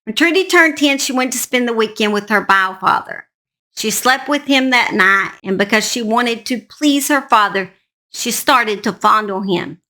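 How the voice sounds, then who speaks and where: Woman, true crime host, high-ish pitch, bright forceful tone, storytelling narration, informal asides. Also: moderate pace.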